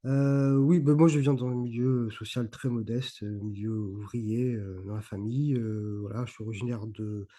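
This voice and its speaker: Middle-aged guy from provence, mellow low-key voice, lots of euhs and restarts, chatting on a call. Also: steady tone, normal speed, few pauses. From Provence, France. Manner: hesitant, many fillers and restarts. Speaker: guest, YouTuber on esotericism. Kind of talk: casual interview talk.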